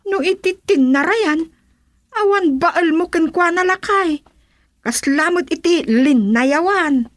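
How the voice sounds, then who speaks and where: High-pitched ilocano village wife, bantering away in a radio comedy. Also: female voice, expressive, slow pace, full character voice. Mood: teasing. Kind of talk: radio drama comedy acting.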